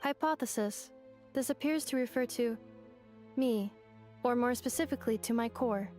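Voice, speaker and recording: A woman acts as a courteous female android in a game. Her voice sits fairly high. She is formal and measured, reporting everything with logical, factual calm. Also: faint music behind, lightly acted. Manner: measured, formal, matter-of-fact. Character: polite, logical female robot companion. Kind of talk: video game voice acting.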